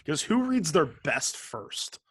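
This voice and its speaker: A man co-hosting a gaming podcast in casual chat, joking and sarcastic, swearing freely and often halting to rephrase. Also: on the high side, very animated, fairly bright tone, slightly rough, dry recording, clean studio sound. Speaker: podcast co-host.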